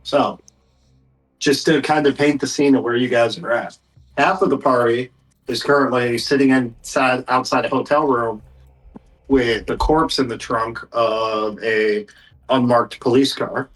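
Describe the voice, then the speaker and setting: A man's voice: mid-range voice, steady tone, unhurried, a bit bright, low-quality recording. Casting: male game master, mid-range voice, casual table-side narration.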